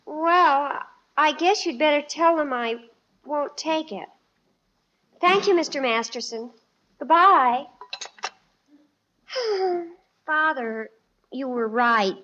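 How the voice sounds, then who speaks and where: A polite young daughter in an old radio sitcom. Her voice sits high and she speaks with hesitation. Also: expressive, takes it slowly, long pauses, bright, forceful tone, dull audio, a little hiss or hum, exaggerated voice. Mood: hesitant. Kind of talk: 1950s radio sitcom acting.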